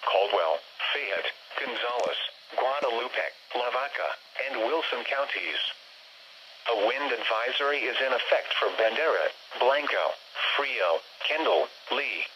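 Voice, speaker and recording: A man's voice: medium pitch, muffled recording. Synthetic male weather radio announcer, noisy reception. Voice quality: synthetic text-to-speech voice. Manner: formal, measured, impersonal. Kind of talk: scripted weather bulletin read-out.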